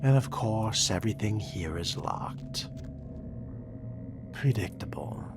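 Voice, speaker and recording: A man with a husky, breathy voice giving dry, sardonic in-character commentary as he plays a role-playing game. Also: on the low side, animated, slow pace, over music. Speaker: player narrating a role-playing game playthrough.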